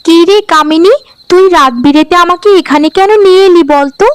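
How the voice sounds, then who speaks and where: A woman's voice: extremely high pitch, brisk. A woman voicing a grumbling, timid young sister in a fairy-tale cartoon, with a whiny, complaining delivery. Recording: background music under the voice.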